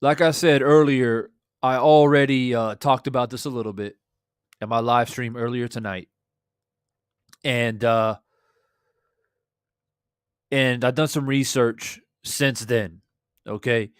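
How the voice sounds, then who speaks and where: A hoarse man in his thirties talks into a desk mic in a small home room, laid-back and chatty as he greets his viewers. His voice stays smooth and resonant under the strain, with a dry, close sound and no room echo. He swears casually as he talks. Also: medium pitch, fairly even intonation, slow pace, long pauses. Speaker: boxing livestream host. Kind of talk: casual livestream chat. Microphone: studio desk mic with headphones.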